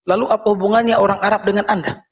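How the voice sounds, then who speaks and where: A man with a husky, creaky voice, arguing as a pastor in an informal debate with persistent questions. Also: high voice, steady tone, racing. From Indonesia.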